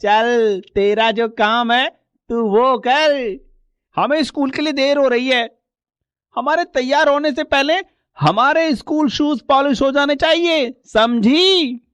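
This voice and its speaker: A woman acts out a haughty little boy in a children's cartoon. The voice is very high, clean and smooth, with slow, mocking, bossy delivery. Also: no echo. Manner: bossy, sneering.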